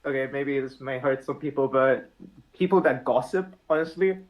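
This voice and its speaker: A young man from India, a podcast guest, talking in a relaxed, thoughtful way with frequent fillers and restarts. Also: mid-range voice, moderate pace, highs cut off. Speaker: podcast guest, app founder. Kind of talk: casual podcast chat.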